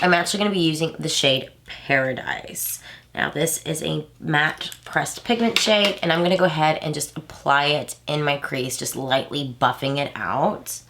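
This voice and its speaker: A woman's voice: sits low, vocal fry, noisy background. A woman in her thirties with a stuffy, nasal voice, chatting casually as she does a makeup tutorial for her channel. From Houston, Texas. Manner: chatty, rambling asides with many fillers. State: stuffy nose from allergies. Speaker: beauty vlogger.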